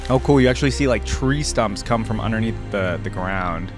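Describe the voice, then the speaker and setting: A man's voice: fairly low pitch, fairly quick, over music. A man giving casual, easygoing gaming reaction commentary, informally thinking aloud as he hosts. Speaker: reaction video host.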